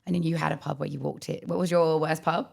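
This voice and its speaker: A young Australian woman co-hosting a casual podcast, with a croaky voice and lively storytelling. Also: low-pitched, quick pace. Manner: chatty storytelling, animated quoting. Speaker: podcast co-host. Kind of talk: casual chat.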